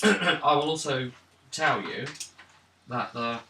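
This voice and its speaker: A man running a tabletop role-playing game as game master, describing scenes haltingly between bits of casual table talk. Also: medium pitch, sharp tone. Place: gaming table in an echoey room. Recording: roomy, distant mic, faint noise and clicks.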